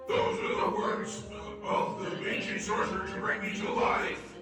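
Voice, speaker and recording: A woman voicing an evil living male ventriloquist dummy in a gruff, raspy growl, snarling commands from a scary storybook. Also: mid-range voice, flat delivery, a little slow, very echoey, far from the mic, over music, lightly acted. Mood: scornful.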